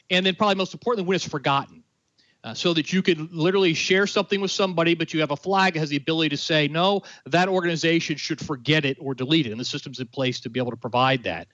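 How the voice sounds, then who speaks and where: A man's voice: a little high, fast, a bit bright, dry recording. A man explaining a technical idea in conversation, earnest, with many fillers and restarts. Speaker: guest expert. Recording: dry, dull lo-fi sound.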